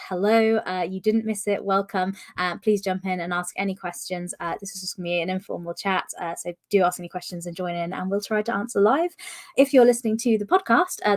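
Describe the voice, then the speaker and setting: A woman hosting a live podcast interview. She talks in a chatty, eager way and drops lots of small fillers as she goes. Her voice sits at an ordinary middle pitch. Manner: chatty, enthusiastic, many "uh" fillers. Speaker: podcast host. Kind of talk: casual interview chat.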